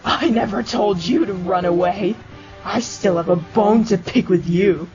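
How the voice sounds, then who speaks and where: A woman voicing a vengeful skeleton who taunts with menacing puns in an animated comic dub. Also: medium pitch, measured pace, natural voice. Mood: cold menace. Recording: lo-fi audio under loud music.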